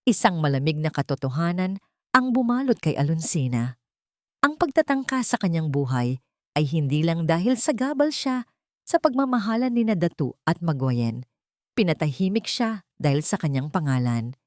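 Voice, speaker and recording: A woman narrating a scripted dramatic story in measured short phrases. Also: deep voice, very animated, darkish tone, no echo, clean studio sound.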